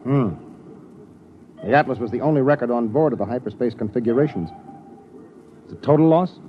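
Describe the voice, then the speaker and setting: An actor playing a grave, courteous male starship captain, measured and commanding, in 1950s radio drama style. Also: mid-range voice, slight background noise, close to an everyday voice. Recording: muffled 1950s radio recording.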